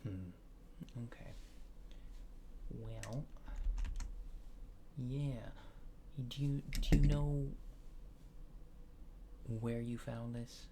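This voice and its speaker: A man speaks very slowly in a soft, gravelly ASMR murmur that slips into whispering. He plays a mild alien space agent, hesitant and casual, trailing off as he goes. Clear noise sits behind the close recording. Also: medium pitch. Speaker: ASMR creator playing an alien abductor. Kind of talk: ASMR roleplay, softly spoken and whispered.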